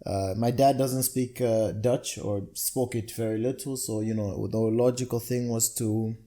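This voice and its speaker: An easygoing man from Zambia chats as a podcast guest, telling his story loosely with fillers. His voice is mellow and warm, at a middle pitch. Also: steady tone, measured pace. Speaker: podcast guest, amateur comedian. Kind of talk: casual podcast chat.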